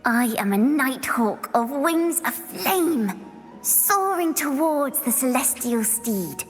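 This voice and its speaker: Fantasy game casting: gentle young woman, lyrical, high and unhurried, long reverb. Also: expressive. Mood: reverent. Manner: lyrical, deliberate. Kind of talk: video game voice acting. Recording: long reverb, music behind.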